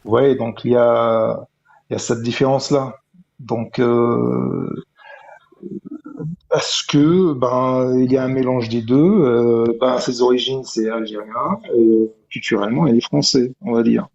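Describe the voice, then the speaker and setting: A man's voice: medium pitch, fairly even intonation, measured pace, vocal fry, a little mellow. A man presses his point in an online debate, repeating and restarting his phrases. The sound is dull and lo-fi, like a voice chat call. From Algeria. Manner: argumentative, insistent, many restarts.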